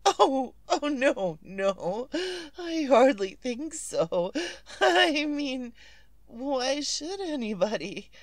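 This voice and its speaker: High female voice, slow-paced, nervous demanding invalid, fretful repetitions, vintage radio drama. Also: very animated, no echo, full character voice. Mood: anxious. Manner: fretful, imperious, stammering and repeating herself. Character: nervous, demanding bedridden invalid wife, easily frantic. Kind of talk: 1940s radio suspense acting.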